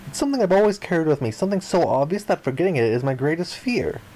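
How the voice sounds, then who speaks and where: Man reading as young male amnesiac castaway, visual novel, flippant, grandiose, theatrical, highish voice, animated. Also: fast talker, slight background noise, low-quality recording. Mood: earnest, grandiose. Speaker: video game player reading aloud. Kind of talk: dramatic read-aloud of visual novel text.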